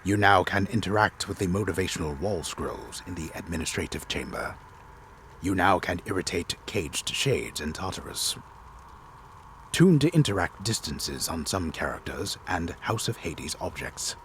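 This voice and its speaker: Deep, theatrical male storyteller. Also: lively intonation, a bit bright, slight background noise. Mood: solemn, dramatic. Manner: theatrical, deliberate, solemn delivery. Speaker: narrator. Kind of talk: dramatic reading, scripted game narration.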